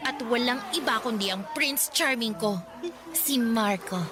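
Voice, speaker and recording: A woman's voice: a little high, unhurried, over music, close to an everyday voice. A woman playing a blunt, dismissive teenage schoolgirl in a radio drama, with curt, exasperated delivery. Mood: exasperated, rejecting. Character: blunt, irritable teenage schoolgirl brushing off her suitor. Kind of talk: Tagalog radio drama acting.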